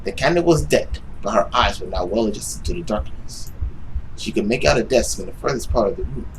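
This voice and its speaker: A man's voice: on the low side, animated, a little fast, vocal fry, a little hiss or hum, low-quality recording. A young man reading a visual novel aloud as dramatic narration, sometimes tripping over words and rereading them. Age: young adult. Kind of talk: dramatic read-aloud of game text.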